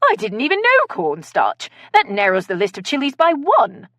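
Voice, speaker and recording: A woman narrator voicing an excitable, indignant dinner-party woman with an English accent in a mock murder mystery. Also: on the high side, normal speed, dry recording, studio quality, pitched above the performer's own voice, heavily acted. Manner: melodramatic.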